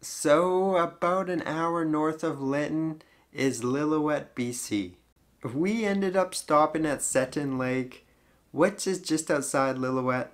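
A young man narrating his road trip vlog in a relaxed, easygoing way. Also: a little high, slow pace, slight background noise. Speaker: travel vlogger.

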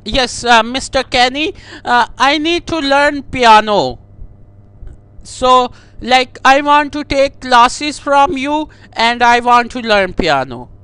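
Guy doing a prank call, super high voice, slow and halting, kinda coy and gushy. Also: expressive, pushed and bright, clean tone, no room sound. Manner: halting, coy, playfully over-affectionate. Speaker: prank caller. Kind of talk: comedic prank phone call.

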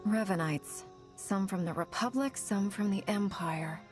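An actress playing a steady, earnest warrior-monk heroine in a video game, speaking firmly. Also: female voice, mid-range voice, unhurried, soft background music, lightly acted. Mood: polite, direct. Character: steady, determined woman warrior-monk hero.

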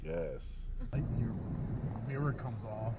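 A man talking casually as he films himself fishing by a lake, relaxed and joking. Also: mid-range voice, slow pace, lo-fi sound. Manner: relaxed, joking, laughs often. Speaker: fishing vlogger. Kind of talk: casual vlog chat. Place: outdoors by a lake. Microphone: head-mounted action camera. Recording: outdoor noise on camera mic.

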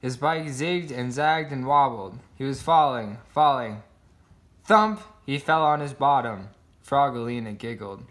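Slow-paced man reading a picture book. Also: mid-range voice. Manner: expressive sound words. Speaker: storybook reader. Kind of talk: children's picture book read-aloud.

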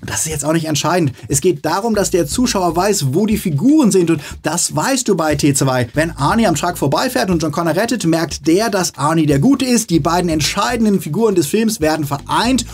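Male video essayist, forties, high and rough-edged voice, animated and exasperated scripted film breakdown. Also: fairly quick, few pauses. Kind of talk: scripted film-analysis commentary.

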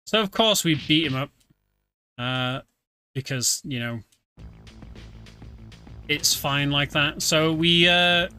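A man talks over his gameplay in a relaxed, chuckling way. His voice sits a little high. Game music plays loudly behind him. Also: takes it slowly, pauses often, smooth, no echo. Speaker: streamer. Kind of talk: casual game commentary.

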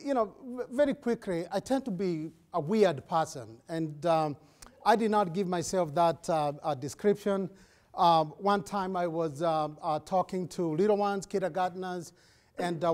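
A middle-aged man with a Kenyan accent giving a public lecture in a hall, restless and animated, full of fillers and restarts. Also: high-pitched. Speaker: guest lecturer.

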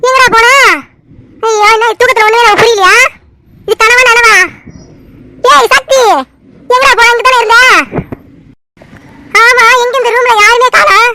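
A woman acts out a young aunt in a family drama. She is dreamy and easily exasperated, with a very high, bright voice full of bewildered protest. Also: steady tone, normal speed, long pauses. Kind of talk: dramatised family story dialogue.

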